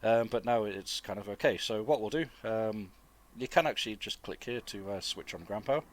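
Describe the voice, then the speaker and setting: A man, chesty with a cold, giving relaxed, informal and rambling flight simulator commentary as a video host. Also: fairly low pitch, fairly quick. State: getting over flu, chesty cough. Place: dry close-miked voice-over indoors.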